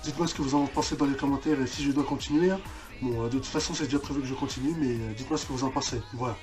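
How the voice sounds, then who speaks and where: A man giving casual, unscripted reaction commentary as a video host, haltingly, often repeating and rephrasing himself. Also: medium pitch, steady tone. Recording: roomy echo, music behind.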